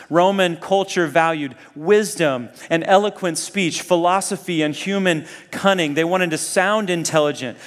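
Male preacher, slightly high voice, long hall reverb, conversational and earnest sermon delivery, rhetorical questions. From Zambia and Kenya. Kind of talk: sermon to a student audience.

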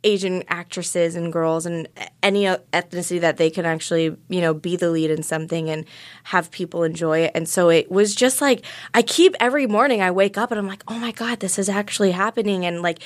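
A young woman born in Vietnam, talking as a guest actress in a casual interview, bubbly and full of fillers and laughs. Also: on the low side.

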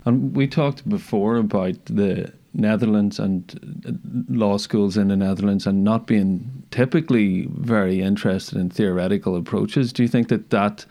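A man interviewing a guest, asking halting, searching questions. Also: on the low side, warm tone.

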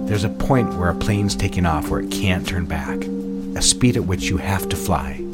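An actor playing a suave, secretive fiancé in an intimate audio drama, coaxing and full of endearments. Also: male voice, deep voice, animated, normal speed, loud music behind the voice. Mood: ardent, persuasive. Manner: coaxing, endearment-laden speech. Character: suave, ardent male fiancé, sweet-talking and secretive.

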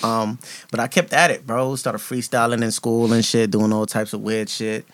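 A man's voice: mid-range voice, steady tone, moderate pace, fairly bright tone, no echo. Loose, laughing New man, dry sound. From New York, USA. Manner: laughs often, loose and profane storytelling. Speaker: guest rapper. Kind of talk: casual podcast storytelling.

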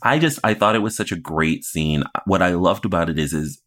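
A man's voice: deep voice, a little fast, a bit bright. A man co-hosting a podcast in a casual, conversational recap style, acting out imagined reactions as he goes. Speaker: podcast co-host.

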